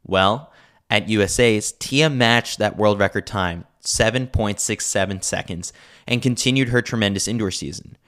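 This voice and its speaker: A man's voice: fairly low pitch, normal speed, fairly bright tone. An American man narrating a scripted sports newsletter as a podcast host.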